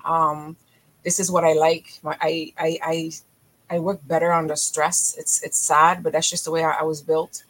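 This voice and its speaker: A middle-aged woman from Haiti, a physician talking as a podcast guest in energetic, emphatic bursts that often restart mid-phrase. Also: low-pitched, normal speed, poor audio. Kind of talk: podcast interview.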